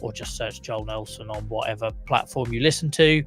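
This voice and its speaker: A young man with a croaky voice hosting a live chronic pain talk show, chatting casually to his viewers with many fillers and laughs. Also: lowish voice, a little fast, faint music behind.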